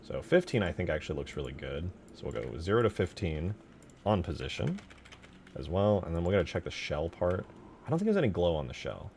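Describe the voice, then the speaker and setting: A man giving casual livestream commentary as a game modder, informal and low-key, muttering to himself as he works. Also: big pitch swings, brisk, gravelly, darkish tone, slight background noise. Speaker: game modder streaming his work. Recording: music behind the voice. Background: music.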